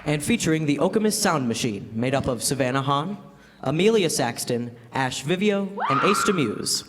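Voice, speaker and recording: A man's voice: fairly high pitch, expressive, moderate pace. A young man announcing credits in the style of a classic radio drama announcer. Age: teens or young adult. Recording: noisy live stage hall, crowd, reverb.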